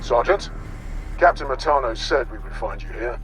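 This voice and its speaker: An actor playing a dutiful, brisk space marine soldier in a video game, reporting in a clipped military manner. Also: male voice, medium pitch, expressive, fairly bright tone, faint music behind, lightly acted. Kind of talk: dramatic video game acting.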